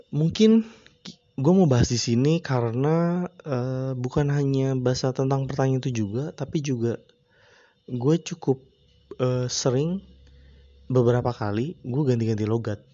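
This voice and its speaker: A man hosting a casual solo podcast, talking in a relaxed, rambling, slangy way with frequent hesitations. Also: mid-range voice, lo-fi sound. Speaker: podcast host.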